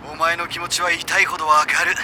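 A man's voice: on the high side, sing-song, a little fast, creaky, noisy background. A man playing a solemn, melodramatic teenage boy friend in an anime audio drama. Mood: solemn, sympathetic.

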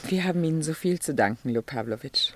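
An actress playing a gentle, solicitous wife and mother with a husky, breathy voice, in a German radio drama. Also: low voice, animated, quick pace. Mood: gracious, kindly.

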